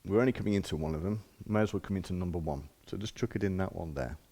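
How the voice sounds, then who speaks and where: Low-pitched man explaining casually. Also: fast talker, warm tone, husky. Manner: casual, plain-spoken step-by-step explaining. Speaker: shop demonstrator presenting sound equipment. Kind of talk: informal hands-on product demonstration.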